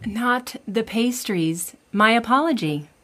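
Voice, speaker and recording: A stern, exasperated daughter in a comedy podcast. Her voice sits a little high. She speaks curtly, with dry, scolding impatience. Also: takes it slowly, a bit bright. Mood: suspicious, stern. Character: exasperated, stern adult daughter, a child therapist. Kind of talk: audio comedy acting.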